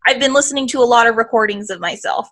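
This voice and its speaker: A young woman with a slightly nasal voice chats casually on a podcast, sounding a little congested from allergies. Her tone is bright and sits a touch high. She rambles and often cuts herself off to start over. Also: fast. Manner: rambling, self-interrupting. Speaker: podcast co-host. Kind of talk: casual chat.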